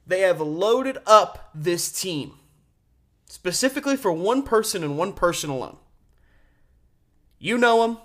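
A man in his twenties with a slightly high voice. He hosts a sports talk show alone on campus radio, speaking informally and with emphasis, dropping in casual slang. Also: animated, a little slow, long pauses. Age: 20s.

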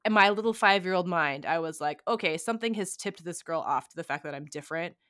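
A young woman, co-hosting a podcast and telling personal stories in an animated, casual way, full of "like" and "right?". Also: fairly low pitch, quick pace, no echo, studio quality. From United States. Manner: animated, heavy use of "like" and "right?". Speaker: podcast co-host. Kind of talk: casual conversational storytelling.

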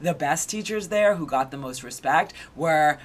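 A woman in her thirties, an author being interviewed, telling her story in a lively way with frequent restarts and "right?" tags. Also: deep voice, moderate pace. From Argentina. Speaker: guest, author and education researcher. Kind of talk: conversational interview.

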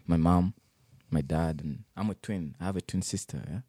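Low, mellow young man telling his story. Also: slightly rough, no echo. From Democratic Republic of the Congo, raised in Uganda. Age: 20s. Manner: halting, many fillers and restarts. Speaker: interviewee. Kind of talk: personal life story interview.

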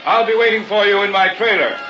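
Sinister showman hypnotist , male actor, theatrical and high-voiced, for a radio chiller; muffled vintage sound over music. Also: fast, natural voice. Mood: pushy. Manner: theatrical barker's patter. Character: sinister carnival hypnotist professor, smooth, pushy showman. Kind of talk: old-time radio horror acting.

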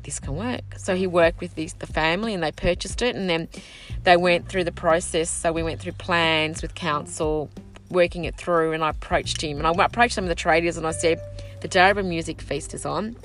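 A woman's voice: fairly low pitch, fairly quick, soft background music, poor audio. A middle-aged woman, a local councillor whose first language is Sicilian, talking at length in a casual podcast conversation. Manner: animated, talks in long run-on stretches.

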